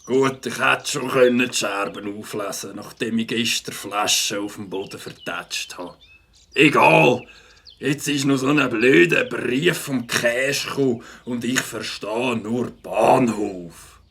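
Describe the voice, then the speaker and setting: A man's voice: medium pitch, takes it slowly, vocal fry, fairly bright tone, slightly rough, exaggerated voice. A man playing a grumpy, blustering moped tinkerer in a children's audio drama. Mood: exasperated.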